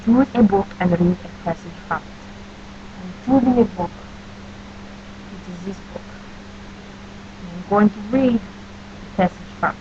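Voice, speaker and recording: Deadpan New Jersey woman, oddly formal. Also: mid-range voice, measured pace, pauses often, lo-fi sound, a little hiss or hum. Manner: stiff, overly formal phrasing, avoids contractions, deadpan silliness. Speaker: audio blogger answering a question list. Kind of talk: casual audio post.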